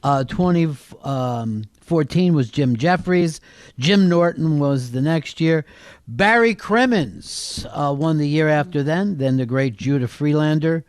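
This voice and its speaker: A man's voice: fairly high pitch, unhurried, no room sound, studio quality. A man hosting a comedy talk show with casual, joking, rambling banter.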